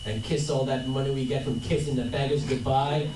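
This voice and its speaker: A man's voice: medium pitch, fairly even intonation, fairly quick, mellow, natural voice. A man playing a cocky, wisecracking small-time gang boss in a hammy amateur radio drama. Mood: scheming, bossy. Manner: wisecracking tough-guy talk. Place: convention panel room. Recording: distant, echoey room with clear noise.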